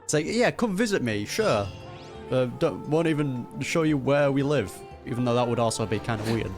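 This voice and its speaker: Joking man doing casual game commentary. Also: mid-range voice. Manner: joking, chuckles often. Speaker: gaming streamer.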